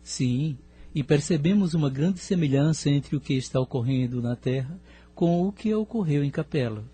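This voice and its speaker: A man's voice: on the high side, animated, moderate pace, mellow, breathy edge. A man with a Brazilian accent playing a courteous, thoughtful spirit companion in an audio drama.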